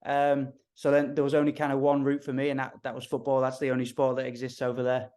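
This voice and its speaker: A man in his thirties from Liverpool with a Liverpool accent, a strength coach telling his story as a podcast guest in a friendly, relaxed way. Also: mid-range voice, fast talker, clean tone. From Liverpool, England. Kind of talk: interview guest.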